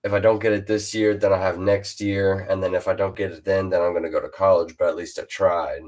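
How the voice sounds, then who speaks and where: A teenage boy with a super deep, low-pitched voice hosts a laid-back chat. He hesitates a lot, fills gaps with "uh," and restarts his sentences as he goes. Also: little pitch movement, brisk, a little mellow. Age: teens. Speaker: host interviewing a fellow rapper. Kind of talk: casual chat.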